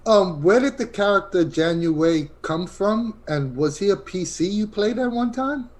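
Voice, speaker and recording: A man with a somewhat high-pitched voice co-hosts a casual interview podcast. He chats informally and appreciatively as he comments and asks questions. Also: animated, unhurried, darkish tone. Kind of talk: casual chat.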